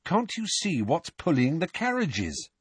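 Bewildered, impatient teen boy, as a male reader plays him; climbing, lively delivery. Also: a little high, measured pace, breathy edge, dry recording, voice pushed up, exaggerated voice. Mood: urgent. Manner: urgent, impatient, desperate. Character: teenage boy, urgent and bewildered.

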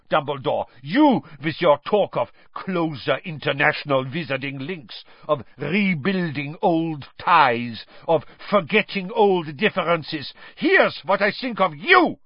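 A pompous, livid headmaster in a storybook reading. The voice is pitched well up and swings widely, declaiming with indignant contempt. The sound is slightly dull. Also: male voice, big pitch swings, measured pace, few pauses, husky, no room sound, exaggerated voice. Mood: livid, accusing. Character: livid middle-aged foreign headmaster, pompous accuser, bellowing.

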